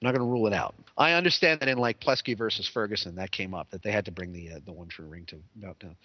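A man's voice: lowish voice, fast talker, no room sound, highs cut off. A man co-hosting a casual comedy podcast, joking and sarcastic in loose chat. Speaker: podcast co-host.

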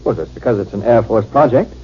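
A man's voice: fairly low pitch, fast talker, breathy edge. A young man in a 1950s radio drama, playing an earnest, deferential Air Force lieutenant. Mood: wondering, hesitant. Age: young adult. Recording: muffled 1950s radio recording.

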